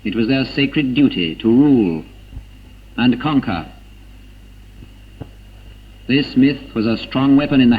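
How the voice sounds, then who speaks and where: A man narrating a scripted 1940s propaganda film in a grave, emphatic manner with dramatic pauses, on an old film soundtrack. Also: mid-range voice, husky, highs cut off. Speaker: documentary narrator. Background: music.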